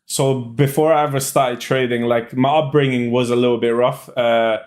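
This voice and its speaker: A man's voice: medium pitch, steady tone, moderate pace, darkish tone. A young man from Albania who moved to the UK as a child, answering casually as an interview guest in an informal, hesitant way. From Albania, moved to the UK at six. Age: young adult. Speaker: interview guest, student trader.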